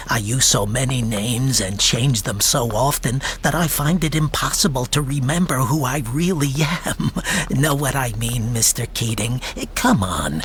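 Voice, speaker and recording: An actor in a radio drama playing a sly, talkative private insurance investigator with a wordy, mock-polite manner. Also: male voice, mid-range voice, a bit bright, a little hiss or hum. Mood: sly, genial.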